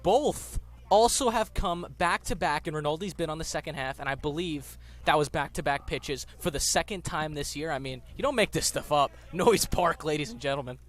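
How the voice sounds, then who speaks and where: A man with a slightly high-pitched voice works as a color commentator. He talks conversationally, reacting to live baseball play as an analyst in the booth. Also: normal speed, fairly bright tone.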